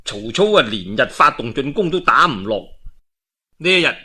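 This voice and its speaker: A man with a Cantonese accent telling a historical tale in a dramatic, colloquial and expressive oral storytelling style. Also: medium pitch, normal speed, breathy edge. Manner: colloquial and expressive, with rhetorical asides.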